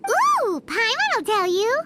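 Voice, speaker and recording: A tiny fairy sidekick with a very high, squeaky childlike voice. She is chatty and excitable, swooping up and down in cartoonish game style. Noise sits clearly behind her. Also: slow, heavily acted. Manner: speaks of herself in third person, exclamatory. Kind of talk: cartoonish video game voice acting.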